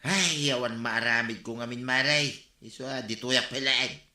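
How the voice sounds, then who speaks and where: Casting: lazy, gossipy Ilocano husband for radio comedy; forceful, highly animated, roomy sound. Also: male voice, normal speed. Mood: teasing, gossipy. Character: idle, wheedling husband who loafs at store and gossips.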